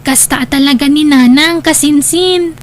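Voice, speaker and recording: An actress playing a curious, sympathetic young student in an audio drama, with a casual, questioning manner. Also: female voice, high voice, unhurried, slight background noise. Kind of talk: Ilocano audio drama acting.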